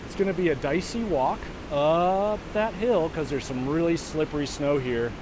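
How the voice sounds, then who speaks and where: A man's voice: on the high side, moderate pace. A man guiding viewers on a walk up a snowy trail, chatting casually between breaths as he climbs. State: winded from walking uphill. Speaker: vlogger and virtual walk guide. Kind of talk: casual narration while hiking. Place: outdoors on a snowy trail by a waterfall. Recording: outdoor recording with loud wind and water noise.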